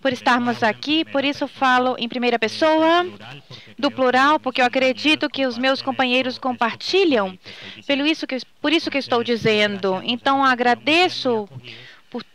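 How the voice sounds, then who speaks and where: Woman interpreting for a guest, high voice, careful and formal. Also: normal speed, a bit bright. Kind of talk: consecutive interpreting.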